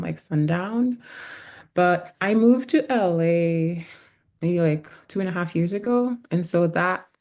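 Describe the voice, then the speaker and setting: Mellow, very low-voiced woman photographer. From Haiti. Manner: casual, exploratory, thinking aloud. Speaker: photographer, panel guest. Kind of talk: conversational artist talk.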